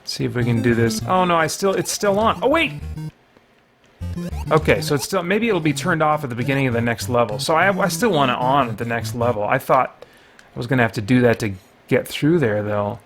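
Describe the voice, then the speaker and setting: Retro video game music plays clearly behind a middle-aged man with a medium-pitched voice. He hosts in a casual, self-deprecating way, rambling through his gameplay and thinking out loud. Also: expressive, brisk. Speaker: host of a video series.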